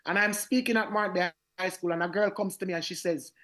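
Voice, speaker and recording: A man with a Jamaican accent tells his story in a radio interview, his voice raspy. He speaks with animated energy, often doubling back to repeat his words as he goes. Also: high voice, quick pace. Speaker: reggae singer, guest.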